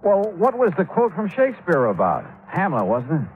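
An actor playing a breezy, wisecracking insurance investigator with an American accent, in the style of 1950s radio detective drama. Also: male voice, high-pitched, huge pitch swings, normal speed. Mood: puzzled, insistent. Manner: wry, breezy, punchy. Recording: tinny, narrow-band 1950s radio recording.

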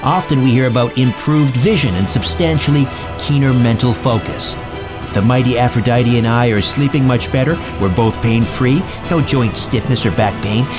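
A man hosting a show, reading scripted introductions and questions in a presenter's style. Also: mid-range voice. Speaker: podcast and radio host. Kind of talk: scripted host narration, ad reading and interviewing.

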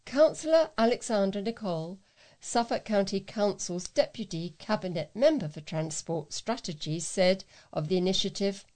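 A woman's voice: medium pitch, expressive, normal speed, darkish tone. A woman reading local news aloud as a volunteer newsreader, with a careful delivery.

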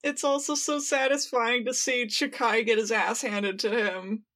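A woman co-hosts a casual fan discussion podcast. Her voice sits a little high. She talks informally and playfully, leaning with emphasis on her words. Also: steady tone, measured pace, a bit bright. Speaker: podcast co-host.

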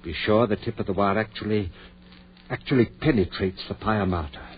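An actor playing an obsessed, intense and commanding surgeon in a 1940s radio horror drama. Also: male voice, fairly low pitch, normal speed, close to an everyday voice. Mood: focused, clinical. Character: obsessed surgeon bent on raising his dead wife. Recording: muffled, noisy 1940s radio recording.

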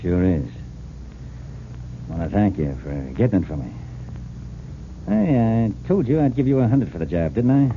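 Cold gangster, vintage radio crime serial: very low, curt, tough, tinny with heavy hiss. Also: male voice, big pitch swings, normal speed, pauses often. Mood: businesslike. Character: cold, menacing criminal boss, adult man. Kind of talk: old-time radio drama acting. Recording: noisy narrow-band vintage radio recording.

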